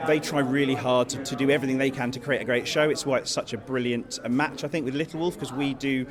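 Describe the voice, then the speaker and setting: A man's voice: mid-range voice, steady tone, fast talker. A man in a casual interview, talking emphatically and piling up repeated phrases at a busy event. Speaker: pantomime producer interviewed. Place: busy theatre at an awards event. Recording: busy crowd chatter behind.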